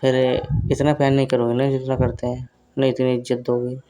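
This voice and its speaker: A man's voice: medium pitch, completely flat delivery, a little fast, mellow, close to an everyday voice. A man playing a flirty brother-in-law who teases and coaxes in casual talk on a recorded phone call. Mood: teasing. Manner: teasing, coaxing, suggestive.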